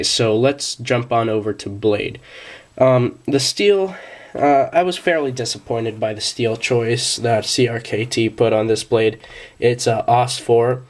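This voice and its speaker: A young man, a bit sick, casually reviewing a pocket knife, with many fillers and restarts. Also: mid-range voice.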